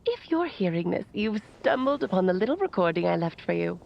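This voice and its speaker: A woman's voice: mid-range voice, very animated, fairly quick, gravelly, lo-fi sound, slight background noise. An actress voicing a playful, eccentric woman mage who speaks in a theatrical, sing-song way through a recorded message. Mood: teasing.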